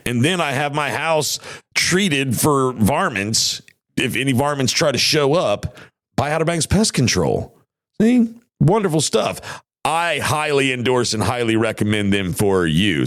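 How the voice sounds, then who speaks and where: A man's voice: mid-range voice, fairly bright tone. A man hosting a podcast in casual chat, his voice tired and worn, rambling in a folksy way with many restarts. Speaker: podcast host. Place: home studio.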